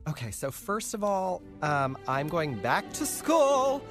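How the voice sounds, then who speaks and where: A man's voice: high-pitched, sing-song, slow, over music. A man hosting a casual podcast, chatty and laughing often, with plenty of fillers. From North Jersey. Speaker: podcast host. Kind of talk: casual chat.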